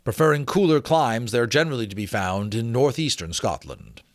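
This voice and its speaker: Learned old-world gentleman lecturer played by a male reader, pompous and formal, highly animated pitch, dry studio-close sound, storybook narration. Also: normal speed, no room sound, natural voice. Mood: lecturing, emphatic. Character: pompous learned Georgian gentleman scholar writing on dragons. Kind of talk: dramatic storybook reading.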